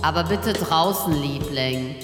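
Bossy widow in echoing reverb. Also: female voice, deep voice, takes it slowly. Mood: bossy, exacting. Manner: commanding, schoolmistress-like. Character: bossy, fussy widowed wife ordering dead husbands about. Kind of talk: theatrical radio play acting. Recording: echoey hall reverb, music behind.

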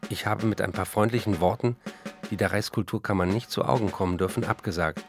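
An actor in a radio play voicing an embattled, wry German novelist of the 1930s, reading out letters and diary entries. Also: male voice, fairly low pitch, fairly quick, soft background music, natural voice. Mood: formal, guarded.

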